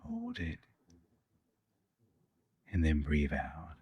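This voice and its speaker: A man co-hosting a podcast, leading a guided meditation with soft, slow instructions and long pauses. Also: extremely low pitch, wildly swooping pitch, husky.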